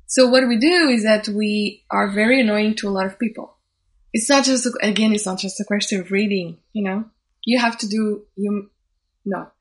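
A woman whose first language is Portuguese, a social scientist and event organizer, speaking thoughtfully in an interview and often restarting phrases as she searches for words. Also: medium pitch. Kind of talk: interview conversation.

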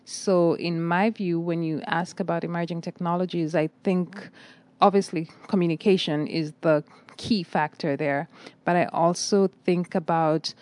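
A middle-aged woman, a nursing director answering interview questions in a measured, articulate way with frequent fillers. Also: lowish voice, steady tone, darkish tone, dry recording. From Kenya.

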